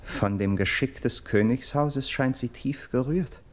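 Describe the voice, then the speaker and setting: An actor playing an earnest Greek captive in a classical verse radio drama, declaiming solemnly and dramatically. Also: male voice, mid-range voice, unhurried, lightly acted. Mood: hushed, hopeful. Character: earnest Greek captive bearing grim news of murder.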